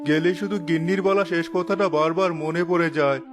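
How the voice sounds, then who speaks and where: A man's voice: on the high side, steady tone, fairly quick, music in the background, natural voice. A man playing a doting, anxious widowed fisherman father in a children's cartoon, worried and sentimental. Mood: sentimental, reluctant. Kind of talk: children's cartoon story acting.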